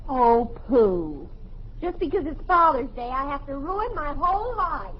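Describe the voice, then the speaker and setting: An American actress playing a sulky, melodramatic teenage daughter in an old radio family comedy, whiny and indignant. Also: female voice, high voice, sing-song, a little slow, slight background noise. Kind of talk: 1950s American radio family sitcom acting. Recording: muffled old radio recording.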